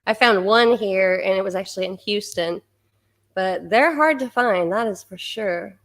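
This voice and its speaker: A hoarse woman chats loosely through a home livestream, her voice worn rough from a lingering illness. She talks at an everyday middle pitch, laughs easily, and breaks off to clear her throat. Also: moderate pace. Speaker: livestream host reading viewer comments. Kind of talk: casual chat.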